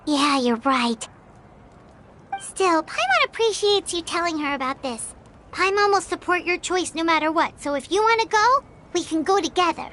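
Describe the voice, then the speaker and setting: An actress voicing a tiny, excitable female fairy companion who talks about herself in the third person. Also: very high voice, moderate pace, slight background noise, heavily acted. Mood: thoughtful. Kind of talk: game voice acting. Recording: recorded game audio with music behind. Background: game music.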